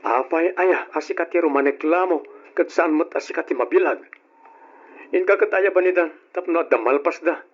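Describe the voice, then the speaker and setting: An actor with a Filipino Ilocano accent playing a bossy, defensive husband in an old-fashioned radio drama, scolding and insistent. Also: male voice, a little high, normal speed, husky. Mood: scolding, impatient. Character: bossy, defensive husband and father, easily irritated. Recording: dull lo-fi radio drama recording.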